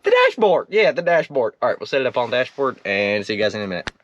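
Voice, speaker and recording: A man's voice: mid-range voice, sing-song, fast talker, lo-fi sound. Goofy young man, casual, noisy recording. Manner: goofy, self-joking, mimics sounds. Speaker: vlogger restoring an old truck. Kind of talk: casual vlog chat while working. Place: in and around a truck. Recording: clearly noisy background with clicks.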